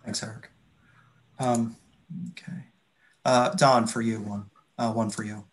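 Man moderating in an even, flat tone. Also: on the low side, a little slow. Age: middle-aged. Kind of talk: reading out audience questions in a webinar. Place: indoors on a video call. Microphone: computer video-call microphone.

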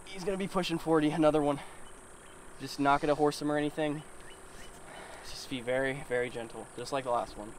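A young man vlogging outdoors while wading in water, excitable and out of breath, talking into an action camera mic with outdoor noise behind him. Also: on the high side, a little fast, pauses often. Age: young adult. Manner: excitable, exclaiming loudly at catches. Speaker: fishing vlogger. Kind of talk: excited outdoor vlog narration.

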